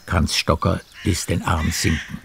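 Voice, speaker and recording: A man narrating a literary radio play in a measured storytelling manner. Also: deep voice, little pitch movement, creaky, noisy background. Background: music.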